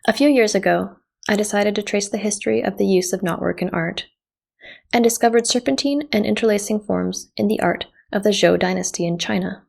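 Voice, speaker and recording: A Canadian woman whose first language was Japanese, a visual artist reading her artist statement in a measured, reflective way. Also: mid-range voice, creaky. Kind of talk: scripted artist statement narration.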